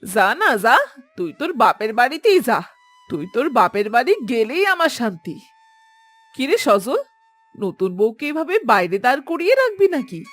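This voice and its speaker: Cartoon mother-in-law played by a woman: older, high-pitched, melodramatic scold with swooping delivery. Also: sing-song, moderate pace, fairly bright tone, soft background music. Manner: wailing, nagging, theatrical. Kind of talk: Bengali cartoon voice acting.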